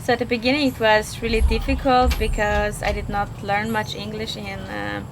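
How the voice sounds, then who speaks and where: A young woman from Poland, Polish-accented, answers questions in an interview at a middling pitch. She talks hesitantly, full of fillers and restarts. Faint background noise sits under her voice. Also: flat delivery, normal speed. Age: 20s. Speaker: guest. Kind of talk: casual interview.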